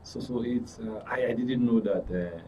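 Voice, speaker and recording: A man with a Nigerian accent giving measured, halting, cautious remarks as a talk show guest. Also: medium pitch, warm tone. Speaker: studio guest. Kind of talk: interview commentary. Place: radio and TV studio. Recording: dull distant mic, background noise.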